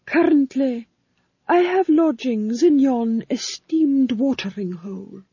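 A narrator voicing a theatrical man who speaks in grand, overly polite phrases, slow and husky. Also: sits high, mellow, no echo, highs cut off, voice pushed up, full character voice. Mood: flowery. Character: flowery, theatrical man, a playwright.

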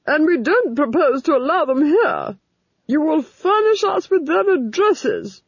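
Reader doing a cold ruthless duchess, high and swooping, slow, husky. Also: very animated, no echo, dull audio, heavily acted. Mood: stern. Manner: stern, commanding, drawn-out words.

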